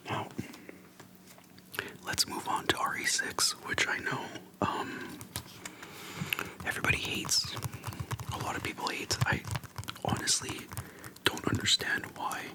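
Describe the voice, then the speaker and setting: A person whispering softly into a close microphone in a casual, slangy, unhurried ASMR chat, pausing often between phrases. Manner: soft whispering, unhurried with pauses; informal slangy speech. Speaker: ASMR video creator. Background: soft tapping and clicking.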